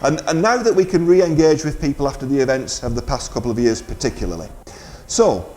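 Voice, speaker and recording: A man's voice: medium pitch, brisk, a little mellow. A man presenting live community radio, making a fundraising announcement in an informal, hesitant, bantering way.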